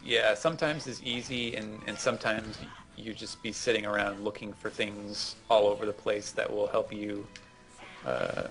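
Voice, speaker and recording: A man's voice: fairly low pitch, normal speed, gravelly, fairly bright tone, soft background music. A man giving casual, rambling explanations as a co-host commenting on gameplay. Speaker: gaming show co-host.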